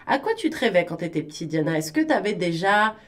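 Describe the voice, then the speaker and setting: A woman's voice: on the low side, big pitch swings, fairly quick. A woman interviewing a guest on radio in a casual, chatty and informal way.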